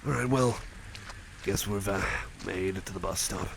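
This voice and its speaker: Man playing a shy cyborg friend, low and gravelly. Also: normal speed. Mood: casual, sheepish. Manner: hesitant, stammering, reassuring. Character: shy, caring young male cyborg friend, awkward and earnest. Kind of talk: ASMR personal attention roleplay. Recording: faint background noise and ambience.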